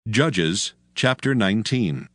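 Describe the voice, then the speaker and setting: Deep-voiced, animated male scripture narrator. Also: no echo. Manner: solemn, measured storytelling.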